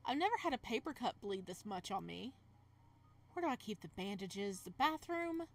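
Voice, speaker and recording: A woman's voice: mid-range voice, sing-song, normal speed. A woman reading as the weary, practical male protagonist of a visual novel, frank and reasoning in manner. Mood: weary, puzzled.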